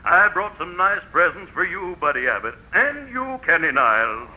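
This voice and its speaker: An actor playing a stern old Santa Claus in a 1940s radio comedy, scolding theatrically with drawn-out emphasis. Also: male voice, high-pitched, very animated, bright, forceful tone, full character voice. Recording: muffled, hissy old radio recording.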